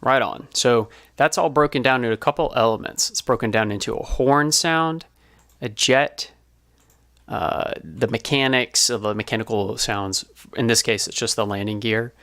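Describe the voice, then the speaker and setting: A man presenting an informal behind-the-scenes explainer as a sound designer, speaking in a casual, halting way. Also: medium pitch, a bit bright, no room sound, studio quality.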